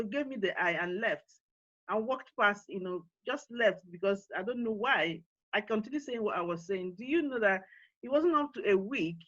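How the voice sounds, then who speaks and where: A woman's voice: mid-range voice, dry recording, dull audio. A woman with a Nigerian accent, hosting an online summit and giving encouraging closing remarks, with many fillers and restarts. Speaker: forum co-founder and CEO hosting an online summit. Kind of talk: panel talk and closing remarks.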